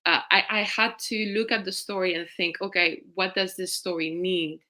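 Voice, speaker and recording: Woman, raised in Spain, film director, video call, reflective and rambling, lowish bright voice. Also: fairly even intonation, normal speed. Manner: reflective, rambling with restarts and soft laughs. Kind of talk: festival Q&A interview over video call.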